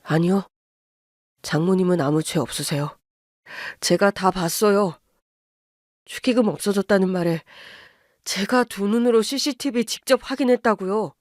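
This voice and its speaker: A woman voicing a mild young husband who speaks low, measured and firm, holding back anger. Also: darkish tone, dry recording, clean studio sound, close to an everyday voice. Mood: calm resolute.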